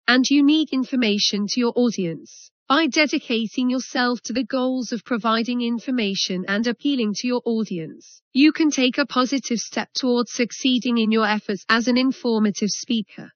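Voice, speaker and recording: A woman's voice: on the high side, moderate pace, hardly any pauses, dry recording. A woman reading academic passages aloud as scripted text. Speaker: reader of English test practice passages. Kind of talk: read-aloud of academic texts. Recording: dry but dull lo-fi audio.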